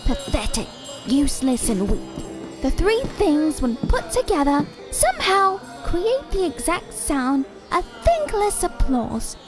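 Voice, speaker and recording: A very high-pitched female doll from an audio drama, taunting and sharp-tongued. The delivery is theatrical and unhurried, with big melodic swings and a cutting edge. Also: very animated, over music, full character voice. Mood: mocking.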